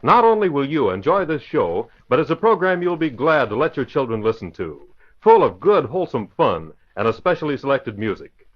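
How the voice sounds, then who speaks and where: Old radio promo voice, man, animated and upbeat, muffled sound. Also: medium pitch, a little fast. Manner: cheerful upbeat selling. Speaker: announcer.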